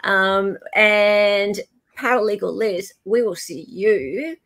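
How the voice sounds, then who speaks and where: A woman's voice: mid-range voice, takes it slowly, a bit bright. Effusive woman hosting a livestream. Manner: effusive, encouraging. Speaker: host of a live stream. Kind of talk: casual livestream chat.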